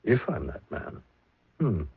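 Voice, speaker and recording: An actor playing a polite, distracted writer who talks to his wife in a soft, courtly way. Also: lowish voice, very animated, measured pace, gravelly, slightly rough, full character voice. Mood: flustered. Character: genteel, flustered male writer. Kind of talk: 1970s radio drama acting. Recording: tinny old radio broadcast.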